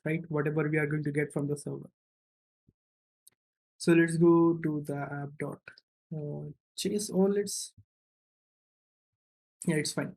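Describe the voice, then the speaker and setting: A man's voice: a little high, darkish tone, low-quality recording. A man giving a casual coding walkthrough as an instructor, thinking aloud and often checking in with a short questioning tag. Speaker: programming tutorial instructor.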